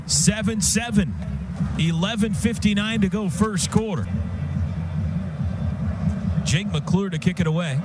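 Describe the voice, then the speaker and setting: An American man with a high-set voice calls a football game for radio. He describes the action in clipped, vivid phrases, building with the play, over loud stadium noise. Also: measured pace, long pauses. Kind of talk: live football play-by-play commentary.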